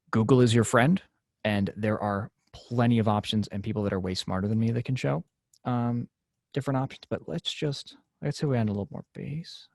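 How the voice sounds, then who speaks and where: A man with a gravelly edge to his voice walks a class through software over a video call. His talk is casual and full of fillers. The sound is dry and close. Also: medium pitch, no echo. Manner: casual, filler-heavy explaining. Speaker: class instructor.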